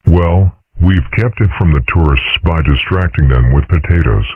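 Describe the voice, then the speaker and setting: A man voicing a calm, dry-witted dragon father in a fan comic dub, speaking in a deadpan, matter-of-fact way. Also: a bass voice, moderate pace, husky, dry recording. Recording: dry, phone-like lo-fi sound.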